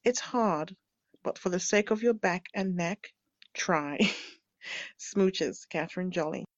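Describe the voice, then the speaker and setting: A woman giving a warm fan message with some gentle motherly humor. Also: on the low side, slow pace, dry recording. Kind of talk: recorded video message. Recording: dry, dull lo-fi recording.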